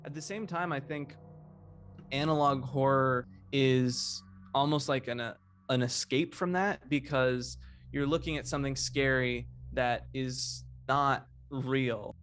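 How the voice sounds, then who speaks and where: A man's voice: mid-range voice, steady tone, slow, fairly bright tone. A man giving casual, reflective interview commentary, often rephrasing as he thinks. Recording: music playing behind the voice. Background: background music.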